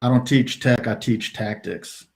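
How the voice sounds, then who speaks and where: A middle-aged man from the United States, a retired soldier, telling his career story as a podcast guest in a relaxed way with many fillers. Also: mid-range voice, a little slow, gravelly. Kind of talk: casual interview talk.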